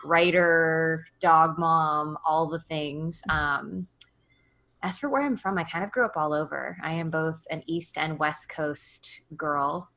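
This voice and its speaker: A woman in her thirties, a podcast guest talking casually, bubbly and self-deprecating with quick asides. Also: low voice, a bit bright. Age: 30s. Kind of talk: casual interview conversation.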